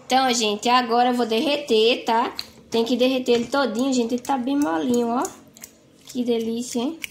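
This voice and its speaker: A woman's voice: a little high, steady tone, moderate pace, a little hiss or hum. A woman chattily walking through a home recipe in her kitchen, often checking in with the viewers. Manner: chatty, tags phrases with "tá?" and "gente". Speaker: home cook vlogger. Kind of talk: casual cooking narration.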